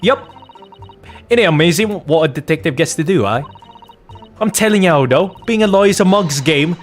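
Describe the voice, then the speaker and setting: A man's voice: on the high side, animated, normal speed, soft background music, close to an everyday voice. A man voicing a cocky young street-urchin girl turned detective in a video game, using street slang. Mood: cocky, excited.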